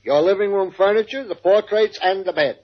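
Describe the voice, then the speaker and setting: A man's voice: sits high, brisk. A man playing a servile, deferential jailer in a 1950s radio drama, eager to please his wealthy prisoners. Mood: eagerly obliging. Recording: dull old radio recording, noisy.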